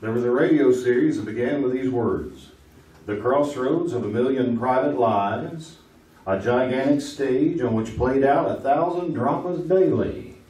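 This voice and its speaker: A man's voice: mid-range voice, unhurried, mellow, lightly acted. A man playing a wisecracking 1950s game show host who teases the contestants, performed live on a theater stage. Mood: quizzing. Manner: joking, teasing contestants. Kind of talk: live stage radio comedy. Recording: echoey stage audio from far off.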